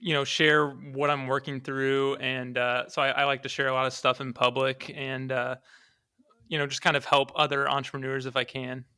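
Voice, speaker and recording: Bright, clear young man, fairly even delivery. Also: mid-range voice, moderate pace, dry recording, studio quality. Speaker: podcast guest, startup founder. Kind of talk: casual conversational interview answers.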